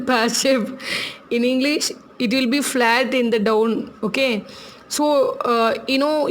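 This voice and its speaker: Chatty Tamil-accented woman teaching crafts. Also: fairly high pitch. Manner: chatty, laughs often, repeats instructions slowly. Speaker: craft tutorial host.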